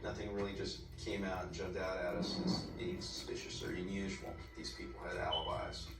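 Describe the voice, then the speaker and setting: A man giving a documentary interview as a police investigator, speaking haltingly and repeating words. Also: sits low, level tone. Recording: echoey distant TV playback under loud music.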